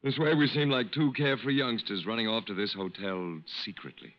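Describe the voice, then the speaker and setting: An actor playing a courtly, sentimental middle-aged con artist who poses as a Major, in 1940s radio style. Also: male voice, lowish voice, fairly even intonation, brisk, lightly acted. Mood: courtly, wistful. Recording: muffled old-time radio recording.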